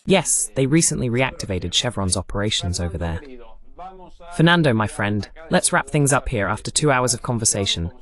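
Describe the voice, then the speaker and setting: A man's voice: fairly low pitch, expressive, moderate pace, fairly bright tone. A man speaking as the translated voice-over of a talk show host in an interview. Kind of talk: dubbed interview hosting.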